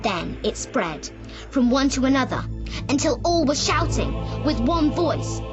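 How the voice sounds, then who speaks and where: A woman gives a dramatic, suspenseful fiction reading. Her voice is fairly high and very animated, paced slowly. Music plays behind her. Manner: deliberate, suspenseful.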